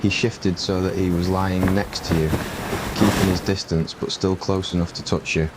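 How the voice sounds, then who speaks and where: A man's voice: low-pitched, level tone, normal speed. A man reading scripted narration for an ASMR roleplay story. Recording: clear rain noise behind voice. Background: rain ambience.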